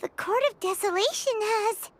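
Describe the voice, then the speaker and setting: A woman voicing a tiny, excitable childlike fairy companion in a video game, squeaky and naive. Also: normal speed, noisy background. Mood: alarmed, confused. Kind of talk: cartoonish video game voice acting. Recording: game music behind the voice.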